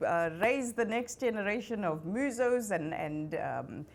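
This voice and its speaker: A woman moderating a fireside chat, lively and affirming with quick asides. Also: mid-range voice. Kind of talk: conversational panel moderation.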